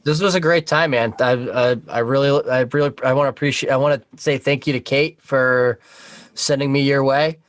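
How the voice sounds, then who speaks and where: A man's voice: mid-range voice, steady tone, moderate pace, no echo. Male guest, mid-range voice, very dry recording, loose sweary conversation. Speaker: podcast guest. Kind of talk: casual chat. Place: remote video call.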